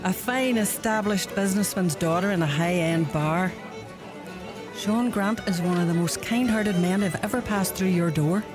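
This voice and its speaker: A woman's voice: lowish voice, normal speed, over music, lightly acted. A woman playing a sardonic publican's daughter behind the bar, with a Donegal Irish accent and dry, cutting delivery, in a radio play. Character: sharp-tongued barmaid, publican's sardonic daughter.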